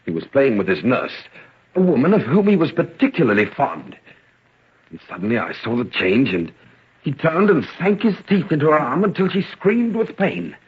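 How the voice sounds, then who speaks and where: A man playing a grave, troubled gentleman father in an old-time radio drama, solemn and melodramatic. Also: mid-range voice, very animated, normal speed, husky. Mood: grave, haunted. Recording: dull, muffled old radio recording.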